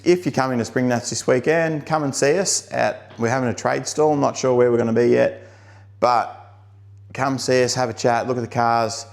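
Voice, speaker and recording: An Australian man talking casually and off the cuff as a car workshop vlogger, laid-back and plain-spoken with colloquial phrasing. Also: medium pitch. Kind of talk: casual unscripted vlog talk. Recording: clear background noise of cars.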